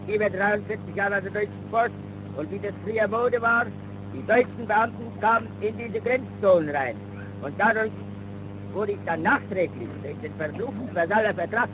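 A man speaks about his own life as a refugee. His voice is notably high for a man. The 1930s newsreel recording is full of hum and noise. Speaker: refugee giving testimony. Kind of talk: personal testimony for a newsreel.

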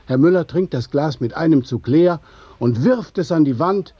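A man's voice: fairly high pitch, expressive, normal speed, husky, no room sound, dull audio. A man narrating a scripted radio play in vivid literary prose. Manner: literary storytelling in vivid present tense.

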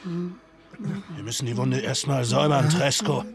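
Slow, gravelly older medicine man. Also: medium pitch, animated, a crowd in the background. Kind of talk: German western radio drama acting.

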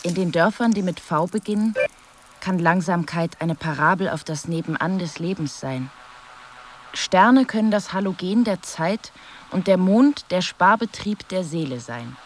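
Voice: mid-range voice, lively intonation, a little slow, slight background noise, natural voice. A performer playing a detached, philosophical commentator, reading poetic narration in a radio drama. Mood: detached, reflective.

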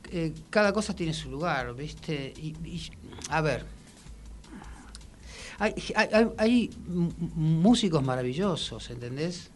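A man with a Rioplatense Argentine accent talking casually and reflectively in a radio interview, with halting, colloquial phrasing. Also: on the high side, expressive, slight background noise. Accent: Rioplatense Argentine Spanish. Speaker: musician and songwriter guest.

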